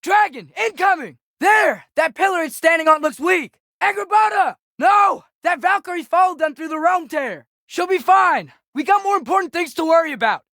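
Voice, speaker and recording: A man's voice: very high-pitched, lively intonation, normal speed, hardly any pauses, sharp tone, dry recording, heavily acted. Teenage boy hero, American accent, earnest, restless, video game dialogue, dry recording. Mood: urgent, desperate.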